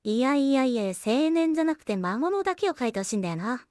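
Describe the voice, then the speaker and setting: A woman with a fairly high voice talks casually through her work on screen. She thinks aloud in short, trailing remarks, as if half to herself. The sound is dry, with little room around the voice. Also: sing-song, fast talker, darkish tone, no echo. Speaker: solo animation creator and streamer. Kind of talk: casual work-along commentary, muttering to self.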